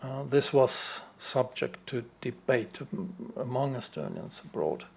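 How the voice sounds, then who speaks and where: A man from Sweden answering audience questions at a conference, slow and hesitant with many fillers. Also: medium pitch, expressive, vocal fry, a little mellow, slightly rough. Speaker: conference speaker.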